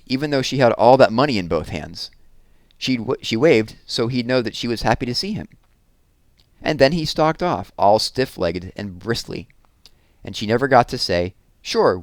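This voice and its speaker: A man reading a children's book aloud in an expressive storytelling style, leaning on key words for drama. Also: mid-range voice, no room sound.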